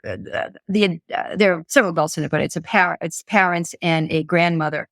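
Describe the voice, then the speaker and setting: Older woman, halting and reflective, dry sound. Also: on the low side, fairly even intonation, a bit bright, no room sound. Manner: halting, searching for words, repeats phrases. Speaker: interview guest, author and psychologist. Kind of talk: reflective personal conversation.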